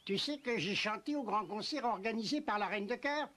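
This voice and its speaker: A woman's voice: mid-range voice, a little fast, fairly bright tone, natural voice. A woman voicing an eccentric, boastful, self-pitying male tea-party host in a French cartoon dub, with theatrical delivery. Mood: boastful, indignant. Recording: music clearly behind the voice.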